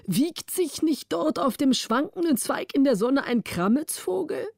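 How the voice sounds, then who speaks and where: A woman voicing a cheerful, soft-hearted old king in a storybook, exclaiming with naive wonder and pompous certainty. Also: very high-pitched, expressive, a little slow, vocal fry, no room sound, studio quality, voice pushed up, heavily acted. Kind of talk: storybook character dialogue.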